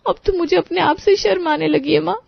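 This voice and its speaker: A woman's voice: very high voice, a little fast, creaky, dry recording, full character voice. A woman voicing a frantic, complaining young daughter-in-law, on a dry, muffled studio recording. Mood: exasperated.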